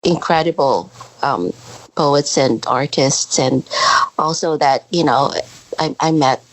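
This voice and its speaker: Middle-aged woman from the Philippines, very low voice, reflective, hesitant ums. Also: slow pace, rough recording. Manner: soft-spoken, reflective, many ums. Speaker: poet guest. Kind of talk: interview answers.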